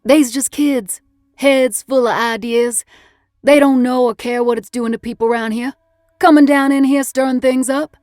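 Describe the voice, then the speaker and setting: A woman acts out a scornful roadhouse cook from the American South. He is blunt and folksy, scolding in a loud, lifted voice, as a character in an audiobook. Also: high voice, steady tone, normal speed, no echo, clean studio sound, voice pushed up, natural voice. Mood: scornful, exasperated.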